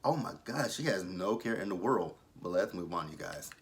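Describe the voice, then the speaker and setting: A man's voice: sits low, animated, normal speed, a little hiss or hum. A man of thirty giving a casual, blunt and opinionated review of a TV episode, swearing freely. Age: 30s. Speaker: TV show reviewer. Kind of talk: casual review vlog commentary.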